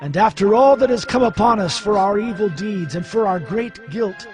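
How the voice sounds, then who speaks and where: A man's voice: high-pitched, normal speed, faint music behind, lightly acted. An actor playing a grief-stricken, devout priest in a dramatized scripture reading, solemn and pleading as he declaims. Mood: pleading, penitent. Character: grief-stricken, ashamed, devout male priest praying to God.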